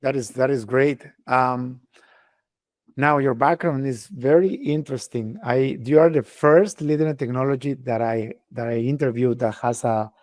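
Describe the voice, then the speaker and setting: A man's voice: medium pitch, a little mellow, no echo, studio quality. A man from North America hosting an interview podcast, friendly and curious, with frequent restarts. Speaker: podcast host. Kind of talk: casual interview chat.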